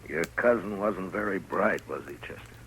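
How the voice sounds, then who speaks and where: Radio western lead: stern lawman, very low voice, terse and measured. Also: fairly bright tone, a little hiss or hum, poor audio. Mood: brooding. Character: stern, principled, world-weary frontier marshal, man. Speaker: series lead. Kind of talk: 1950s radio acting.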